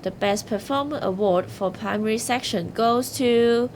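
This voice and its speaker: A woman's voice: on the high side, normal speed, darkish tone, a little hiss or hum. A woman hosting an awards ceremony, reading scripted announcements.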